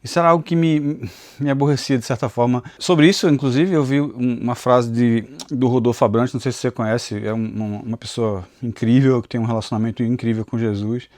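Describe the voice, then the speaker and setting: Brazilian guy w recife accent, earnest devotional talk, dry phone recording, mid pitch. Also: steady tone, fairly quick, darkish tone, dry recording. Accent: Recife, Brazil, mixed with inland Pernambuco. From Northeast Brazil. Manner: earnest and confessional. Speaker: Bible teacher and channel host. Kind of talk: personal devotional talk to camera. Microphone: phone camera.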